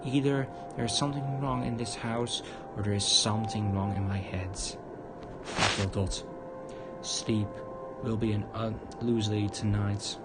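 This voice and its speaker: A man livestreaming a game, reading visual novel text aloud in a halting, stumbling way. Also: medium pitch. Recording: loud guitar music behind.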